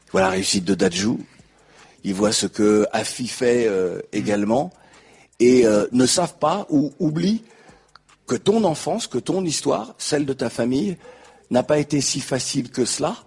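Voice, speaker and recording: A man hosting an interview, putting formally worded questions in halting, deliberate phrases. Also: mid-range voice, expressive, darkish tone, breathy edge. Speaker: interviewer, host.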